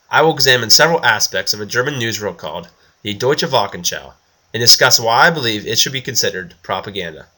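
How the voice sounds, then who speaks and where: A man's voice: lowish voice, sharp tone. A man reading a scripted, structured explanatory narration as a video essayist.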